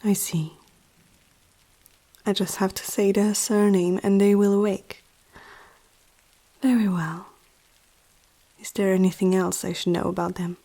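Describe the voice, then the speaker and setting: A woman playing a powerful, seductive, possessive vampire aristocrat in an ASMR roleplay, speaking softly and often whispering, with a teasing, regal menace. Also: mid-range voice, flat delivery, a little slow, pauses often. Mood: cool, composed. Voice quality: soft, breathy, often dropping to a whisper. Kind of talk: ASMR roleplay, intimate slow scripted monologue.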